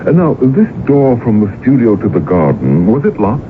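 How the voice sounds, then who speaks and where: An actor playing a composed, gentlemanly portrait painter, calm and urbane, in old-time radio drama style. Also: male voice, mid-range voice, normal speed, natural voice. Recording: noisy, narrow-band old radio broadcast recording.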